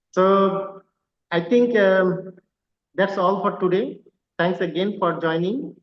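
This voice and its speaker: A man's voice: sits high, takes it slowly, reverberant, highs cut off. A man from Bangladesh, co-hosting an online lecture and giving formal, courteous closing remarks with many hesitant fillers. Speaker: co-host, visiting assistant professor.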